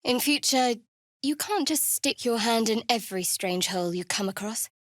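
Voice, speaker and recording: A guarded, sardonic young cleric, higher-pitched. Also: female voice, animated, a bit bright, no room sound. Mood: solemn, measured. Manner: measured, dry, wry delivery. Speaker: video game voice actor. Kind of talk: scripted fantasy game dialogue.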